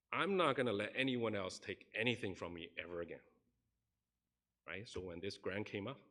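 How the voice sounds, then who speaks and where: A man's voice: on the low side, bright, forceful tone. A man preaching a sermon in a church, earnestly telling a story and acting out the people in it. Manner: earnest, recounts stories and acts out quoted people. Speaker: pastor. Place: church sanctuary.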